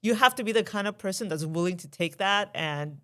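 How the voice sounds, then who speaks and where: A woman podcast co-host who jokes and chats, often trailing off quietly. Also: mid-range voice, animated, fairly quick. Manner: joking, chatty, trailing off quietly. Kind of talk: casual interview chat.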